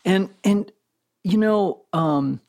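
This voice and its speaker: Gravelly-voiced man co-host. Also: sits high, slow, a little mellow. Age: middle-aged. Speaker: podcast co-host. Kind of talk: casual interview conversation.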